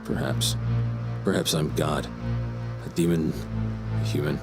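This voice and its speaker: An actor playing a brooding, grandiose serial killer in an audio drama, with a theatrical, deliberate delivery. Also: male voice, rumbling low, fairly even intonation, vocal fry, over loud music, full character voice. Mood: cold, menacing. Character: brooding, remorseless male serial killer, pleading then grandiose.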